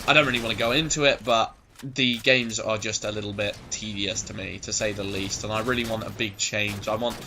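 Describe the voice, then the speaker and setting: A man in his twenties giving rambling, sweary gameplay commentary, his voice going a bit hoarse. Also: lowish voice, fairly even intonation, brisk, sharp tone, a little hiss or hum. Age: 20s. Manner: rambling, swears a lot, goes off on tangents. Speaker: gaming commentator.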